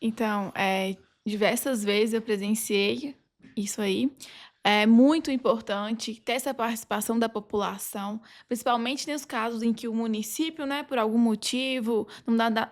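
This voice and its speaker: A woman's voice: a little high, steady tone, normal speed. A woman with a Brazilian accent speaking as a podcast guest, explaining earnestly in a conversational way. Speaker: podcast guest, legal advisor.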